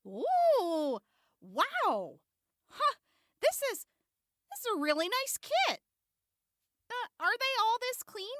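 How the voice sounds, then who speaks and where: A careful, questioning traveler of the wasteland, played by a woman, has a high voice that swings widely. She speaks expressively and slowly in this audio drama. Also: wildly swooping pitch, fairly bright tone, no echo, clean studio sound, heavily acted. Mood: curious, wary. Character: exasperated, careful female wasteland traveler haggling over supplies. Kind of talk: post-apocalyptic audio drama acting.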